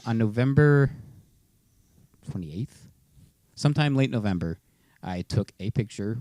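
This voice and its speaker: Mellow, low-voiced man telling a story. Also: no room sound. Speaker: podcast co-host. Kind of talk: casual personal storytelling on a podcast.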